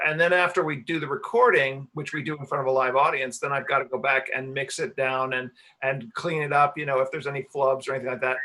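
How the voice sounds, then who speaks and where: A man answering questions in a casual panel Q&A, explaining things in a halting, explanatory way as the director and engineer of a production. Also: mid-range voice, bright, forceful tone. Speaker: radio play director and sound engineer.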